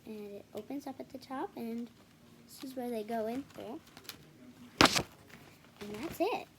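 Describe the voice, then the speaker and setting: A woman hosting a children's read-aloud video, reading a picture book with casual, halting, self-correcting asides to viewers. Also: on the high side, heavy background noise. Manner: halting, self-correcting, apologetic while showing pages.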